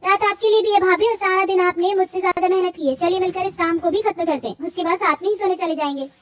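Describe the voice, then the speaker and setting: A woman's voice: extremely high pitch, steady tone, fast, a bit bright, voice pushed up. A woman voicing a caring, outspoken young daughter in a cartoon family story, earnest and assertive. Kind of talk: cartoon moral-story acting.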